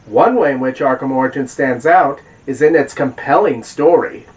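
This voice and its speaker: A man recovering from a cold, hosting a video essay and narrating a scripted game review in a measured, deliberate way. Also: medium pitch, over music, low-quality recording.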